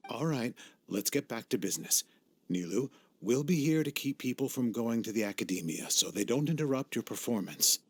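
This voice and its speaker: An actor playing a gruff but supportive desert fighter in a video game cutscene, with a husky voice. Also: male voice, mid-range voice, expressive, moderate pace, no room sound. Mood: reassuring.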